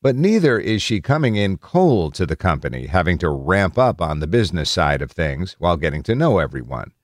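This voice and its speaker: A man's voice: sits low, sing-song, fairly quick, no echo, studio quality. A man narrating a scripted news article as a reader.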